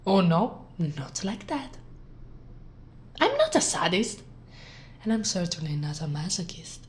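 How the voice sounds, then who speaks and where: A woman from Italy playing a smug, flirtatious sheriff in an ASMR roleplay, with sultry, teasing delivery that drops into breathy whispers. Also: on the low side, big pitch swings, unhurried. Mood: amused, condescending. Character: teasing, smug, flirtatious female sheriff gloating over captive. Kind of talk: ASMR roleplay, scripted acting.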